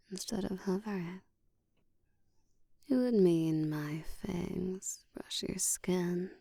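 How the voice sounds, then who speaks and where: Actress as commanding female vampire for roleplay audio: very slow, breathy whisper, dark low tone, teasing control. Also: no room sound, clean studio sound, exaggerated voice.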